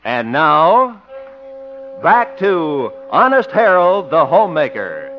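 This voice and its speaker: A man's voice: a little high, huge pitch swings, takes it slowly, music in the background. A man announcing in a polished, emphatic, formal 1950s radio style. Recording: dull old radio recording.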